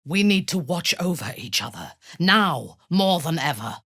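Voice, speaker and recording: A cold, menacing dark elf commander for a fantasy game. Her voice is low and husky, and she speaks slowly and deliberately, with wide, dramatic swings in pitch. She sounds imperious and disdainful. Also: sing-song, no echo, studio quality, heavily acted. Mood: intimate, solemn. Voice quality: husky, breathy. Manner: slow, deliberate, imperious, precise.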